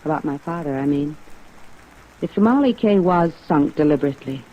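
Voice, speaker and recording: A woman's voice: sits low, animated, brisk. An actress playing a coy, headstrong young woman in 1950s radio noir, hesitant and coaxing. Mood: hesitant, earnest. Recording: muffled vintage radio recording over rain.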